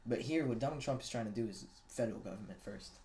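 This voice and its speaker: A young man co-hosting a casual podcast debate, with a middle-pitched voice. He talks in quick bursts, restarts often and presses his points hard. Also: dark tone, slight background noise. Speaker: podcast co-host.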